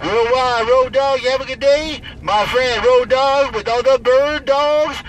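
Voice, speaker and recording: Very high-pitched man on CB radio. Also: steady tone, a little slow. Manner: showy, repetitive, chanting catchphrases. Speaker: CB radio operator. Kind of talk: CB radio banter with repeated call signs. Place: inside a moving vehicle. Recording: noisy in-car recording, distant voice.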